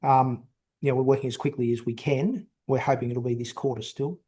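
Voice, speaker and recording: A male company executive answering questions on an investor call, explaining in a halting, measured way with repeated words. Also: medium pitch, little pitch movement, dark tone.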